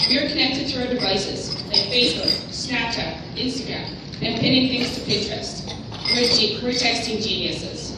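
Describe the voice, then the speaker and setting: A woman's voice: fairly high pitch, very noisy. A young woman from the Netherlands, Dutch her first language, giving an earnest prepared speech at a conference luncheon. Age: young adult. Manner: earnest, reading from notes. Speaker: award recipient giving a speech. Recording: distant, echoey hall with loud ambience.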